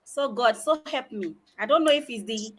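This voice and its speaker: A woman with a Nigerian accent from Delta State, a guest on a panel chat, telling stories in an animated way. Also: a little high, normal speed. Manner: animated, storytelling.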